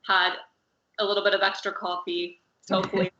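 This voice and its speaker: A woman's voice: medium pitch, level tone, a little fast, bright, forceful tone, echoey room, lo-fi sound, a little hiss or hum. A woman from California, a fashion designer hosting a casual live-streamed conversation, hedging with many fillers. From California, United States. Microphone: video call.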